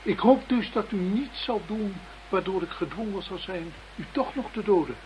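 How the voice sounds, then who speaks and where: An actor playing a coolly threatening, formal and deliberate masked blackmailer in a radio play. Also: mid-range voice, animated, darkish tone, lightly acted. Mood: controlled threat. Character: cold, polite, menacing masked blackmailer holding a captive. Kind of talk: Dutch radio play acting. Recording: noisy radio play recording.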